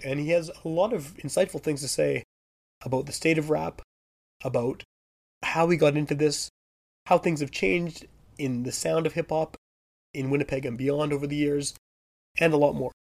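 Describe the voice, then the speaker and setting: A man hosts a podcast in a relaxed, rambling way, his voice hoarse and fading. There is a gravelly rasp to it and it sits a little high for a man. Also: normal speed. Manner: relaxed, rambling with frequent fillers. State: losing his voice. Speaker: podcast host. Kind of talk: casual podcast chat. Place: basement.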